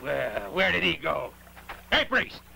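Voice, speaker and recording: A man voicing a hot-tempered old beggar thief in a dubbed animated film, with a cracked, gravelly voice and blustering, threatening delivery. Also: on the high side, slow, noisy background, full character voice. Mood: grumbling, angry. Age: older.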